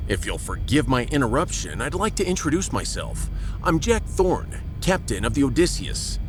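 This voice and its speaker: A man's voice: very animated, moderate pace, music in the background, lightly acted, poor audio. A man playing a courteous, folksy starship captain in a sci-fi audio drama, commanding yet diplomatic. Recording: rumbling engine noise behind.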